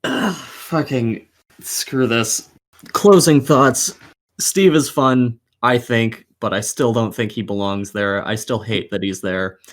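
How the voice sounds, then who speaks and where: A man's voice: mid-range voice, slow pace. A man hosting a gaming podcast, chatting casually with blunt, disgruntled opinions and plenty of swearing.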